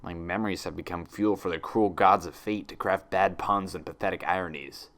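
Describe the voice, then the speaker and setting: A man's voice: low-pitched, steady tone, fairly quick, fairly bright tone. A man voicing a young female unicorn patient in an audiobook-style story, dry, sarcastic and anxious. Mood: sardonic. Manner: dry, sarcastic, self-pitying.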